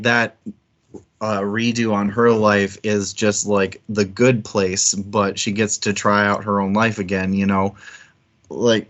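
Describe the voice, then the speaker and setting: A man's voice: lowish voice, a bit bright. A man co-hosting a book discussion stream, talking casually and frankly with easy swearing. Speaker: book club stream co-host. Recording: dull lo-fi streaming audio.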